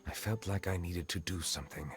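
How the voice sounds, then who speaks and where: An actor playing a grave, courteous chief justice in a video game, speaking in a formal, measured, restrained way. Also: male voice, deep voice, very noisy, natural voice.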